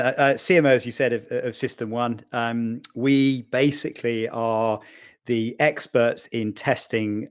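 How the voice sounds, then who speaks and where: A man in his forties from Wales, a guest on a podcast, talking in a friendly, rambling way and laughing easily. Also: medium pitch. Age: 40s. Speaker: podcast guest, marketing executive. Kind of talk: casual interview.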